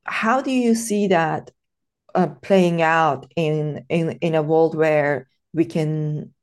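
A woman's voice: deep voice, slow pace, clear, resonant tone. A woman from Iran hosting a casual podcast interview, thoughtful and hesitant, with many fillers and restarts.

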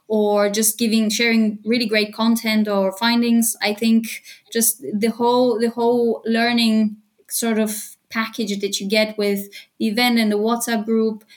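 A woman in her thirties, originally from Bulgaria, chatting lively as a podcast guest and laughing often. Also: on the high side. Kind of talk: casual interview chat.

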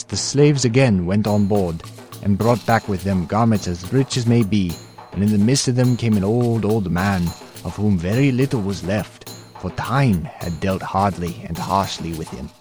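An actor playing a prince who recounts his adventures in formal, archaic, expressive storytelling for an audio drama. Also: fairly low pitch, close to an everyday voice. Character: young prince recounting his perilous sea voyage. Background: music.

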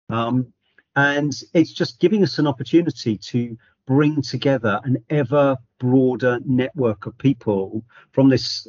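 Brief: male charity chair, husky tone, measured pace, dry dull sound. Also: mid-range voice, a little mellow, dry recording. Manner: measured, many ums and restarts. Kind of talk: interview answer.

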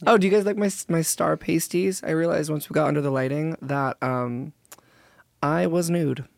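Hoarse, joking podcast co-host. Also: female voice, low voice, normal speed, no room sound. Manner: joking, slangy, rambling. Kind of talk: casual podcast chat.

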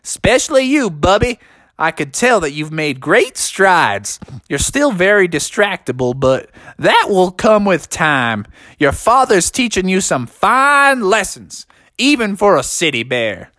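A man's voice: high-pitched, sing-song, a little slow, sharp tone, no room sound, clean studio sound, exaggerated voice. A man voicing a cheerful old country uncle bear, a farmer with a folksy, hearty drawl in a children's story. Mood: warm, grateful.

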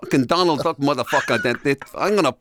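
A man's voice: on the high side, fast talker, fairly bright tone, a little hiss or hum, lightly acted. A man doing a pushy, threatening gangster with a caricature American accent in comic banter. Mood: mock threatening. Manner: insistent, menacing.

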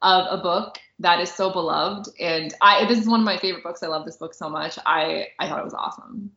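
Bright-voiced woman chatting, roomy sound. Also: on the low side, fairly quick. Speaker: podcast co-host and film critic. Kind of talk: casual chat. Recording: roomy, echoey, slightly dull audio.

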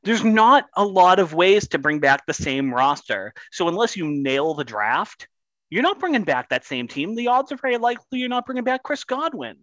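A man's voice: high-pitched, sing-song, moderate pace, a bit bright, no room sound, highs cut off. A man co-hosting a casual sports podcast, building his points with repeated phrases. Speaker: podcast co-host.

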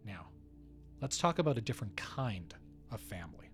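A man hosting a podcast, reading scripted narration with expressive, dramatic emphasis. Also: lowish voice, normal speed, soft background music. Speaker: podcast host.

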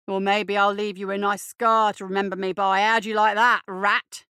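A sadistic young street bully, performed by a woman narrator, swaggering and taunting in rough street talk, pitched down and loud. The voice is clean and close, with a dry sound. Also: little pitch movement, moderate pace, no room sound, natural voice. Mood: menacing, gloating. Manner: taunting, grandiose, rough uneducated street speech.

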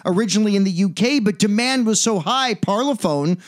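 A man's voice: high-pitched, expressive, a little fast. A man co-hosting a podcast, chatting casually about music in a jokey, rambling way and often correcting himself. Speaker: podcast co-host.

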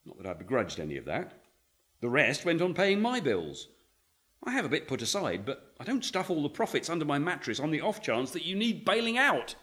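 A man's voice: a little high, wildly swooping pitch, brisk, a bit bright. An actor playing a middle-aged author and father in a radio play, speaking with dry, sardonic wit. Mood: wry.

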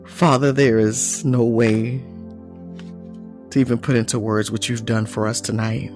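A man's voice: medium pitch, flat delivery, unhurried, over music. A man leading heartfelt, spontaneous prayer as a church leader, emotional and repeating his phrases. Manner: emotional, repetitive. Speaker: church leader addressed as apostle.

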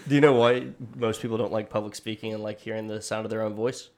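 A man's voice: on the low side, steady tone, fast talker, darkish tone. Rambling casual podcast host, man. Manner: rambling, filler-heavy, self-deprecating.

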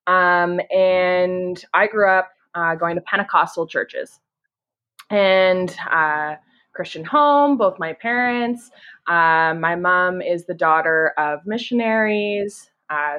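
Sex: woman. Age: 30s. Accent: Canadian. Pitch: lowish. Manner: eager, chatty podcast guest. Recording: dull, lo-fi remote audio. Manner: eager, chatty, frequent "you know" and "like". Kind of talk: casual conversation.

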